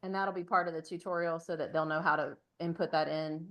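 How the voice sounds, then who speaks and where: A woman with a rather low voice moderates an informal meeting. She relays participants' questions and checks in with them in a conversational way. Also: fairly even intonation, brisk, fairly bright tone. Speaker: moderator reading out audience questions.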